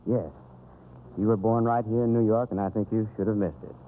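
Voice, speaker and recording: A man's voice: lowish voice, fairly even intonation, fairly quick, slight background noise. A man playing the straight man in a 1930s radio comedy, explaining things with patient exasperation. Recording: tinny 1930s radio recording, music.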